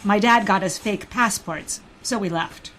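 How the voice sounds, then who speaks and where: A woman acting a gentle, patient mother who tells stories of her childhood in a scripted radio play. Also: mid-range voice, unhurried, slight background noise, lightly acted, rough recording. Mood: patient storytelling. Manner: patient, storytelling.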